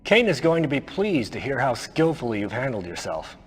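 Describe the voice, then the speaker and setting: A man's voice: mid-range voice, big pitch swings, a little fast, lightly acted. Man acting a menacing, theatrical villain lieutenant with swooping delivery. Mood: smug, commanding. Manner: theatrical, condescending, commanding. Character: menacing, smug male militant cult lieutenant giving orders. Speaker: villain briefing officer. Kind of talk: dramatic video game cutscene acting.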